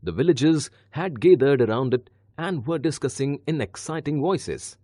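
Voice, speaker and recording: A man narrating a scripted children's story in a clear, measured, expressive read-aloud style. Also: mid-range voice, dry recording, studio quality.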